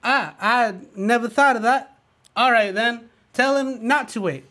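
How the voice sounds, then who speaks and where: A man playing a scheming, wisecracking assistant in a radio play, quick and playful, twisting words. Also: very high voice, bright, forceful tone, slight background noise, exaggerated voice. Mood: cheeky.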